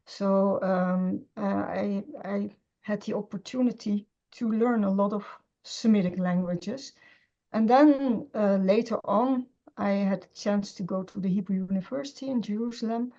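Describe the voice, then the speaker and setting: A woman's voice: mid-range voice, measured pace, dark tone. Hesitant woman scholar. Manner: hesitant, many fillers and restarts. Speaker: interview guest, scholar of Semitic languages. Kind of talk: conversational interview. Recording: dull lo-fi sound.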